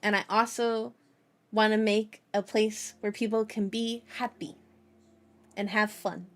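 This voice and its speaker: A woman's voice: mid-range voice, little pitch movement, measured pace. A woman hosting a livestream in casual chat, with a playful, childlike way of phrasing things and a habit of repeating herself. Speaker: VTuber livestream host. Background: background music.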